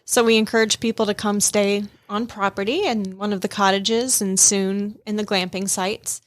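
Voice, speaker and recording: A woman's voice: mid-range voice, normal speed, no echo. A young woman from Minnesota, raised in Georgia, with a mix of Midwestern and Southern accent, chatting as a radio interview guest and telling stories with easy laughs. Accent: mixed Midwestern and Southern US. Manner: chatty, animated storyteller who laughs easily. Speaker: guest, hospitality and events manager.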